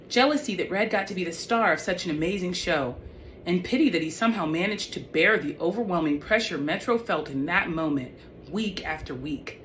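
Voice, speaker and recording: Low-pitched woman reading, noisy recording. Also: a bit bright. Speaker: author reading own book. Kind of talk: audiobook-style reading of young adult fiction. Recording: clearly noisy background.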